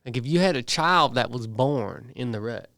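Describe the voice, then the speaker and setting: Man, 30s, podcast co-host, emphatic, clear resonant voice. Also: mid-range voice, expressive, normal speed, a bit bright, no echo, clean studio sound. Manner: emphatic, defends his views at length. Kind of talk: casual group chat. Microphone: studio podcast mic.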